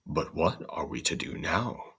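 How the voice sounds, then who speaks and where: A man voicing a forceful, impetuous talking coal from a storybook, with formal, old-fashioned phrasing. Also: rumbling low, lively intonation, unhurried, vocal fry, slightly rough, exaggerated voice. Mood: worried.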